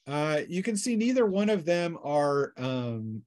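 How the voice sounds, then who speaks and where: A man working as game master, narrating a tabletop role-playing session in a casual, improvised, halting way. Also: a little high, sing-song, a little mellow.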